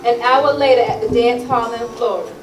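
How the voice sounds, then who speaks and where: A woman's voice: on the high side, fairly even intonation, fairly quick, over music. A woman narrating a play, reading out scripted stage directions. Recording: roomy, distant mic, noisy.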